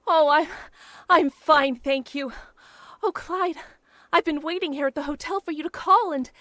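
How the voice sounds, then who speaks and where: A woman's voice: high-pitched, normal speed, pushed and bright, dry recording, studio quality. An actress playing a young woman abandoned by her fiancé in an old-time radio drama, talking in a breathless, pleading way. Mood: nervous, polite.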